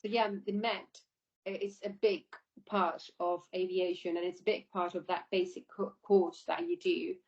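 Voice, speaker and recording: A woman's voice: on the low side, flat delivery, moderate pace. A woman giving informal, explanatory interview answers about her work, often repeating herself, over an online call. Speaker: air traffic controller being interviewed. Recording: dull lo-fi online call audio.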